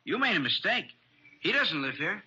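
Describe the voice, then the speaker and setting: An actor playing a breezy, excitable American rooming-house proprietor in an old radio drama. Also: male voice, high voice, normal speed, slightly rough, full character voice. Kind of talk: vintage radio crime drama dialogue. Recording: dull, noisy old radio recording.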